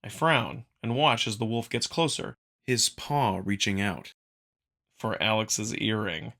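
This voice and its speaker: Bright-voiced man narrating a story. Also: fairly low pitch, unhurried, creaky. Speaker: narrator and performer. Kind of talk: scripted first-person visual novel narration.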